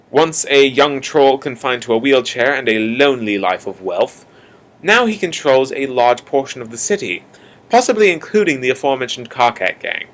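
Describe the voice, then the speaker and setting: A man's voice: mid-range voice, a bit bright. Young male narrator for an amateur radio drama, theatrical and mock-ominous, slipping into casual asides. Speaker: narrator of a college radio play. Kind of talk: dramatic scripted narration addressed to the listener.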